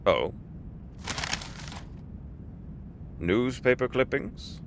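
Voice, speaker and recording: An actor playing a courteous middle-aged executive, avuncular and formal, with genteel, deliberate phrasing in an audio drama. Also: male voice, medium pitch, sing-song, gravelly, background noise, exaggerated voice. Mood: weary, curious.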